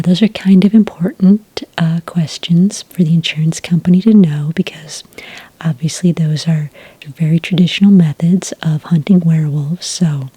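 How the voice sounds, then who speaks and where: A woman speaks in a soft, breathy whisper, playing a friendly clerk in an ASMR roleplay. Her voice is low and mellow, recorded very dry. She explains each step in a gentle, unhurried customer-service manner. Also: no echo. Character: friendly female registration clerk for werewolf hunters. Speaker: ASMR roleplayer as a licensing associate.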